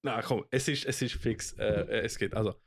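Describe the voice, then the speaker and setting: A man's voice: mid-range voice, fairly even intonation, brisk, vocal fry. Swiss German man in his twenties, hesitant. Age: 20s. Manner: hesitant, many fillers and restarts, laughs often. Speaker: podcast co-host. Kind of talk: casual chat.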